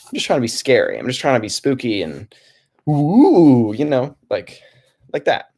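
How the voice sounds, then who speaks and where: A young man hosting a relaxed livestream from his home desk, talking softly in a calm, rambling way with many restarts. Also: medium pitch, normal speed, slightly rough. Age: young adult. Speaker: livestream host.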